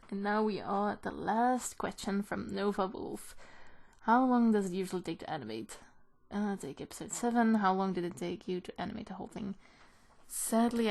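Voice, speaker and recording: A woman chats casually as she answers questions from viewers. Her voice sits at a medium pitch with a noticeable creak. Her delivery is informal and a little hesitant, as in a homemade video from her own room. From Belgium. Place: in a room at home.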